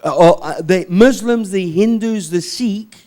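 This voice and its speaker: Slow, high-voiced male preacher. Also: darkish tone. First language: English. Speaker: visiting preacher. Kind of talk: sermon delivered in short phrases for an interpreter.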